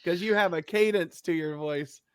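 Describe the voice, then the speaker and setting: A man hosting a podcast interview, relaxed and joking, with hesitant questions. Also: fairly high pitch, moderate pace. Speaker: podcast host. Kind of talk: casual interview chat.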